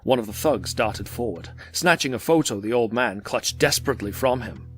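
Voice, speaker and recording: A man's voice: mid-range voice, expressive, moderate pace, fairly bright tone. A man narrating an audio drama in a scripted, dramatic storytelling style. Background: music.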